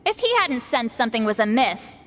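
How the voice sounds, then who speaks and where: An actress voicing a haughty, sharp-tongued granny shaman priestess in an anime fantasy game, commanding, teasing and theatrical. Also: fairly high pitch, sing-song, a little fast, a little hiss or hum. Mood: mocking, teasing. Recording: long reverb, music underneath. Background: game music.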